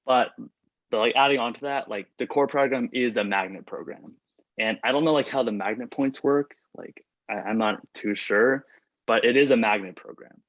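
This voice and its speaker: A man's voice: medium pitch, normal speed, no room sound. A young man whose first language is Chinese, a student answering questions in a casual chat, full of "like" and restarts. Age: young adult. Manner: chatty, many "like" fillers and restarts. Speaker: student panelist reading out questions. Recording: dull lo-fi dry recording.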